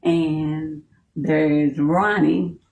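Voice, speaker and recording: A woman in her seventies from Texas, answering interview questions at home in a soft-spoken way, with brief replies. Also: low-pitched, fairly even intonation, extremely slow, gravelly. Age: 70s. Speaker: interview guest. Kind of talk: casual conversation at home. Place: living room of her home.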